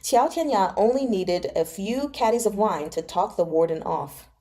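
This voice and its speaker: A woman's voice: medium pitch, expressive, moderate pace, mellow. A woman hosting a read-along, reading a novel aloud and chatting casually about it as she goes. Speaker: host of a live book read-along.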